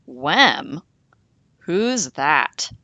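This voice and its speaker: Storybook villain: big male wolf played by a woman, menacing bully, slow and dramatic, swooping pitch. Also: big pitch swings, pushed and bright, no room sound, full character voice. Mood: worried.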